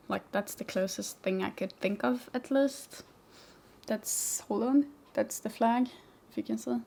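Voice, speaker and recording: A young woman from Sweden with a mixed Swedish-English accent, answering viewer questions in a rambling, chatty vlog. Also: medium pitch. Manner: chatty, rambling, many "like" fillers, laughs easily. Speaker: vlogger, motorcycle rider. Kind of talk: casual Q&A chat.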